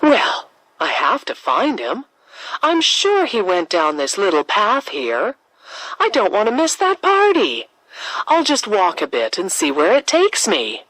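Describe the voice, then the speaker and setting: A woman's voice: mid-range voice, wildly swooping pitch, a little slow, fairly bright tone, dry recording, exaggerated voice, low-quality recording. A woman voicing a curious, determined young girl in a children's storybook, expressively thinking aloud.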